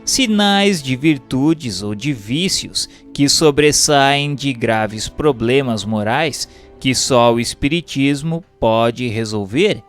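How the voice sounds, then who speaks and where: A Brazilian man reading a book aloud as scripted audiobook narration, in a measured, formal way. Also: mid-range voice, expressive, faint music behind.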